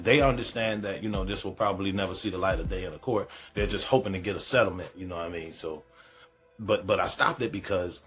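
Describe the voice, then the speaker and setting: A man's voice: low voice, fairly bright tone. A man hosting a reaction show, giving casual, slangy commentary and repeating phrases for emphasis.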